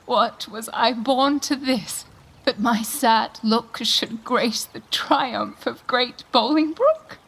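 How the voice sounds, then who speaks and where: A woman's voice: a little high, takes it slowly, vocal fry, fairly bright tone, husky, heavily acted. A woman playing an anguished, indignant queen in a Shakespearean radio play, proud and commanding in declamatory verse. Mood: grieving, bitter. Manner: declamatory, imperious, formal verse delivery.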